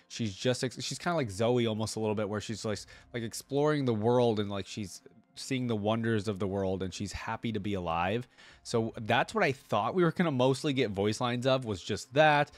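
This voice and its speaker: A man's voice: lowish voice, no echo. Man giving rambling, casual reaction commentary. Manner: rambling, thinking aloud, frequent "like" and "right?". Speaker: reaction video host. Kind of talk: casual unscripted commentary to viewers.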